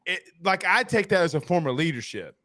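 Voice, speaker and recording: A man hosting a sports podcast, interviewing in a casual, animated and very informal way. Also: high voice, brisk, dry recording. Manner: animated, informal, peppers talk with "you know, like".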